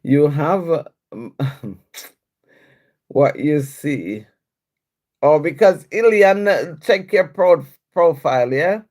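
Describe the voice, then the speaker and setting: Slow, lively woman live-streamer. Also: sits low. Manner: chatty, answers comments aloud, laughs often. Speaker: livestream host reading viewer comments. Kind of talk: casual live-stream chat.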